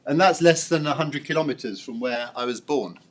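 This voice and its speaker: A middle-aged man giving a thoughtful public lecture, pausing often with fillers and restarts. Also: mid-range voice. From born and raised in Congo. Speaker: professor giving an inaugural lecture. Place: lecture hall.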